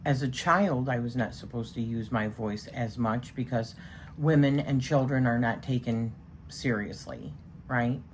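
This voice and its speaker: Very low-voiced chatty woman reading tarot. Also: a little slow, slight background noise. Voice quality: strong voice. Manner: chatty, rambling with many fillers. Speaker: tarot reader. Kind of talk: casual spoken tarot session.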